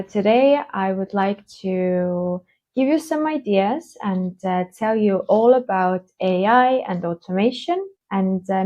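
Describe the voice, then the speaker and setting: Slow-spoken woman from Estonia. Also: mid-range voice, mellow. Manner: slow, hesitant, with many fillers. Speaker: conference speaker. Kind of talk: keynote talk. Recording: dull lo-fi online stream.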